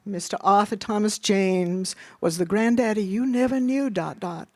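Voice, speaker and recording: A woman's voice: medium pitch, moderate pace, no echo, studio quality, natural voice. A woman voicing a stern, sorrowful mother and nurse telling a story in a grave, folksy way.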